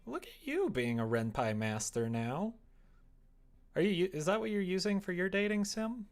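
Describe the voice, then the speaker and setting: A man's voice: high voice, very animated, moderate pace. A man streaming live, chatting casually and muttering to himself as he thinks aloud while working at the computer.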